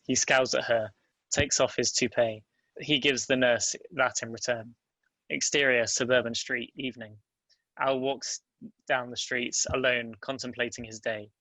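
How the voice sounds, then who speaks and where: A man reads screenplay stage directions in a plain narrating style. His voice is bright and even, with little pitch movement. The sound is close and dry. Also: a little slow, no echo, rough recording. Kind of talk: scripted narration at a table read.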